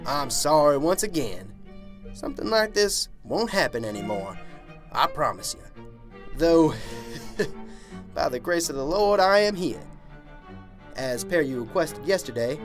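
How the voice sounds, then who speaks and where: A man plays a genial country preacher in a radio drama. He speaks slowly and floridly, pitch rising and dipping widely, as if always sermonizing, with clear music behind him. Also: big pitch swings. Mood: apologetic, courteous. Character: genial, pious small-town preacher, folksy and unruffled.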